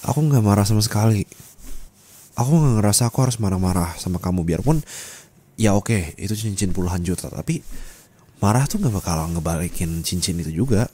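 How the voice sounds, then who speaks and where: A man playing a gentle, caring husband in an ASMR roleplay, speaking in a tender, coaxing, reassuring way. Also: on the low side, brisk, breathy edge. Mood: soothing, apologetic. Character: gentle caring young husband comforting his distressed wife.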